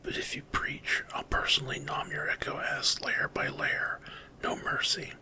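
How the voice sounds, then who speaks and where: A man's voice: measured pace, sharp tone. Whispered male performer as a hive-swarm creature, cocky, savage, menacing, trash-talking, ASMR story character.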